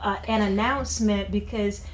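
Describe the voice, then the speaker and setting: A woman's voice: medium pitch, fairly even intonation, normal speed, a little hiss or hum. A woman hosting an interview. She encourages her guest with frequent affirmations. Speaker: interviewer, host. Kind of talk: interview chat.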